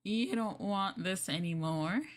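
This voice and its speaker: A woman's voice: medium pitch, measured pace, pitched below the performer's own voice, lightly acted. A woman playing an obsessive, possessive girlfriend in an ASMR roleplay, sweetly menacing and coaxing the listener in a cooing manner. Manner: cooing, coaxing, breaking into giggles and whispers.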